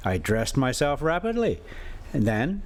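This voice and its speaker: Male American vlogger-storyteller. Down-home and informal, medium pitch with big melodic swings, easygoing amateur delivery. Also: very animated, unhurried, a little hiss or hum. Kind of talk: casual rambling chat mixed with reading a classic novel aloud.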